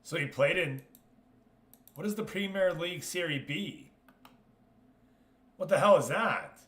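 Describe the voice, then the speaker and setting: Guy streaming a soccer manager game, bright punchy voice, roomy echo, casual chat to viewers, talks to himself. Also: on the high side, sing-song, a little slow. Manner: informal, reacting aloud, talking to himself. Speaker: live streamer hosting a Football Manager league. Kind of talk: casual livestream game commentary, chatting with viewers. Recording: roomy, echoing sound.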